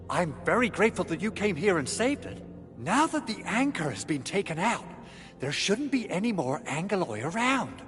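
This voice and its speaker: High-pitched, excitable male engineer. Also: in a large hall. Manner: dramatic, flustered, effusive. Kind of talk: video game voice acting.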